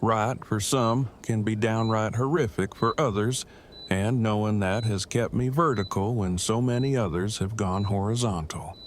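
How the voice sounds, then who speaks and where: A man's voice: fairly low pitch, normal speed, slight background noise, lightly acted. A man playing an awkward, self-protective survivor with stilted, formal, wordy speech in an audio drama. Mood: bitter, guarded. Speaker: voice actor.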